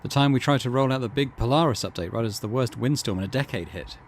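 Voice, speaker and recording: A man's voice: medium pitch, fast, slight background noise, natural voice. A man voicing a departing corporate team leader from a game, nostalgic and upbeat in a declamatory way. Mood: grandly nostalgic.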